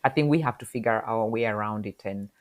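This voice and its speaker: Very low-voiced woman, reflective. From Kenya. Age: middle-aged. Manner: reflective, halting, many fillers. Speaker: podcast guest. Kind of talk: casual interview talk.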